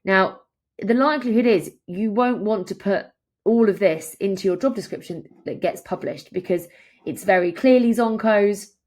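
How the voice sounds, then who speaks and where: A woman in her thirties presenting a software tutorial as a conversational, step-by-step walkthrough. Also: medium pitch, moderate pace.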